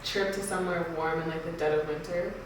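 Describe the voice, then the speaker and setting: A woman's voice: fairly low pitch, fairly even intonation, fast talker, recorded from a distance. A woman co-hosts a casual podcast chat and keeps filling her talk with "like" and "you know". She sits some way back from the mic in a very echoey room with faint noise behind her. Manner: chatty, says "like" and "you know" often. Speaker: podcast co-host.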